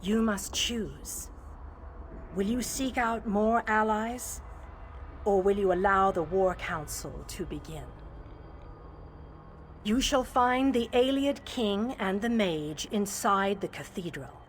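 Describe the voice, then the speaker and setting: A woman voicing a calm, wise, ethereal guardian in a video game, speaking in a formal, measured, serene manner. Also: medium pitch, a little hiss or hum. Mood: solemn.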